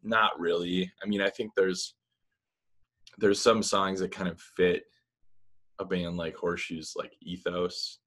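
A man with a very low voice talks in a relaxed, meandering way, leaning on "you know" and "like" as he answers. He is in his thirties and speaks into a laptop mic on a video call from a small room. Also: measured pace, pauses often. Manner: relaxed, rambling, many fillers. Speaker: musician guest. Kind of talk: casual video-call interview. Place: small room with blinds, indoors. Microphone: laptop webcam mic on video call.

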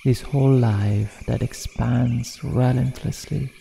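A man narrating a guided meditation in a soothing, measured manner with deliberate pauses. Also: mid-range voice, fairly even intonation, vocal fry, warm tone, a little hiss or hum. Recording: long reverb, New-age music behind. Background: New-age music.

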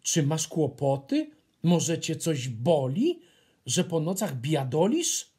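Man playing a husky, earnest little hare. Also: a little high, sing-song, slow pace, dark tone, full character voice. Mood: concerned. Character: friendly, caring little male hare.

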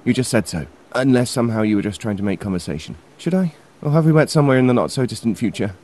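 A man plays an eccentric, courteous time-travelling gentleman in an audio drama. He rambles wordily at a quick clip, in a mellow voice with a very animated melody. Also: mid-range voice, natural voice. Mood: breezy. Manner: rambling, wordy. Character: chatty, eccentric, polite time-travelling gentleman, easily sidetracked.

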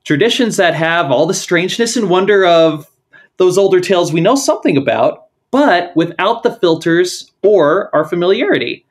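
A male podcast host with a lively, animated voice that rises and falls freely. He opens with a prepared intro, then shifts into relaxed conversation with his guest. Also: high voice, normal speed. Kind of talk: scripted intro then casual interview.

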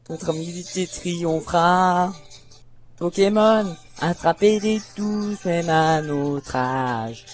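A man slowly works through a cartoon theme, half singing and half reciting. His delivery is halting and self-conscious, with music behind him. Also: high-pitched.

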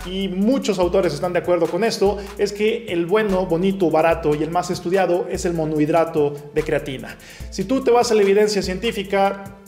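A young man with a high, light voice explains nutrition to the camera, setting out each answer in clear, orderly steps. His voice rings a little in a roomy space, and soft music plays faintly underneath. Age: young adult. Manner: clear, methodical, answers questions one by one. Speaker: nutrition educator. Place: small room at home. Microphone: camera facing him at close range.

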